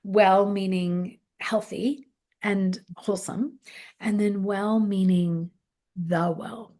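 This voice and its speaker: A woman's voice: mid-range voice, fairly even intonation, slow, darkish tone. A woman hosting a conversational interview, reflective and playing with words, often affirming her guest. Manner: reflective, plays with words, many affirming fillers. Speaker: podcast host.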